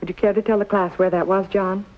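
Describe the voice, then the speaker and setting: Actress as formal schoolteacher, dull vintage sound. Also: female voice, medium pitch, flat delivery, natural voice. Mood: formal, patient. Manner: formal, measured, courteous. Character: polite, formal schoolteacher addressing her class. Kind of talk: 1950s American television drama acting. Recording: dull lo-fi 1950s television soundtrack.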